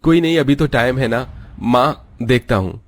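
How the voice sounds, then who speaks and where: A man's voice: mid-range voice, measured pace, natural voice. A man voicing an earnest, loving poor young labourer and husband in a plain-spoken way. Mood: downcast.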